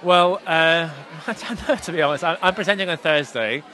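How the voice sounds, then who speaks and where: A man's voice: on the high side, normal speed, pushed and bright. A man who presents at a teaching conference, talking loosely in an interview and hedging with "sort of" and "like". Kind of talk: casual interview answers. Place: busy conference venue. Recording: crowded hall chatter.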